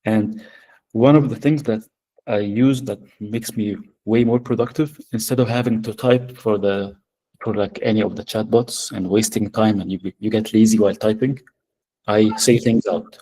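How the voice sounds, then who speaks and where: A man's voice: lowish voice, fairly even intonation, moderate pace, warm tone, slight background noise, low-quality recording. A man with an Arabic accent presenting a workshop demo, hesitant and full of fillers, over a video call. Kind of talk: live software demo.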